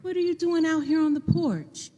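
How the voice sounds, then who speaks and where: A woman playing a gentle, nudging middle-aged mother in a live radio play. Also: very high voice, moderate pace. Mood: gentle, prodding. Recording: echoey hall, faint noise.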